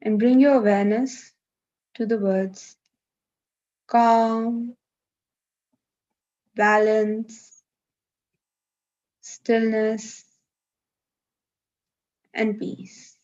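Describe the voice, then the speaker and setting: A woman leading a live guided meditation, giving gentle, unhurried instructions with long pauses, over a video call. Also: a little high, flat delivery, muffled recording.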